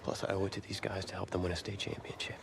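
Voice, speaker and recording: Gravelly, defensive young star quarterback. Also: male voice, low-pitched, little pitch movement, fast talker, crowd noise. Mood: defensive, pleading. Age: young adult. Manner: defensive, intense. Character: proud, defensive young male star quarterback, ailing, stubborn. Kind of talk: dramatic video game cutscene dialogue.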